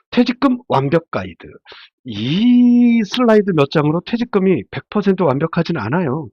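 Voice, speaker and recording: Stuffed-up guy with a cold teaching casually off slides, very lively intonation, dry close sound. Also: medium pitch, husky, no echo. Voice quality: nasal, stuffy. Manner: conversational, explanatory, asks and answers own questions. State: congested from a head cold. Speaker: labor attorney lecturer. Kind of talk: informal slide lecture. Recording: dull, muffled lo-fi sound.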